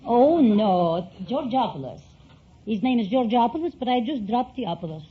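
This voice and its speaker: Animated older Greek-accented woman, high voice. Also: normal speed. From Greece. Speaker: quiz show contestant. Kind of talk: lively storytelling on a radio quiz show. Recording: dull, faintly noisy vintage radio recording.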